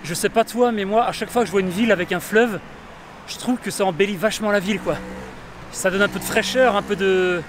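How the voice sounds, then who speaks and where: A man's voice: high-pitched, steady tone, quick pace, slight background noise. A man walking outdoors in a city, chatting enthusiastically to his viewers in a casual travel vlog. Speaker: travel vlogger. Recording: music playing behind.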